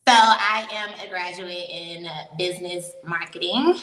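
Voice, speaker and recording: A woman's voice: lowish voice, steady tone, a little slow, a bit bright. A young woman from Miami, a professional dancer, telling her story as an interview guest in a frank, chatty way. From Miami, Florida. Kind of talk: casual interview chat. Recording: echoey room, mic at a distance.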